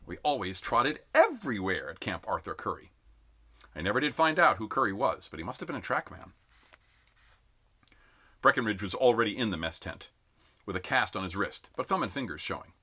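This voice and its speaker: Male narrator for a read-aloud: forceful, sharp tone, lively intonation, dramatic phrasing, expressive storytelling. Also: fairly low pitch, fairly quick, pauses often, husky. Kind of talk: expressive audiobook-style narration.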